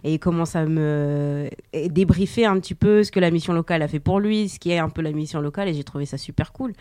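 A woman's voice: low-pitched, fast, warm tone, dry recording. A young woman born in Portugal, guest on a local radio show, talking casually with frequent fillers. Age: young adult. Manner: hesitant, many fillers.

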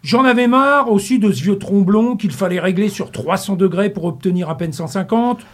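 A man's voice: high-pitched, lively intonation, moderate pace. A middle-aged man reading a humorous radio column in a studio, full of wordplay and mock-grand asides. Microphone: studio mic.

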